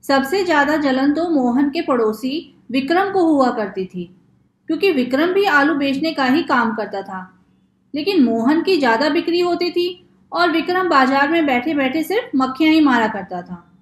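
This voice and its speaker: High-voiced woman narrating in an echoey room. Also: lively intonation, normal speed. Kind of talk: scripted children's story narration.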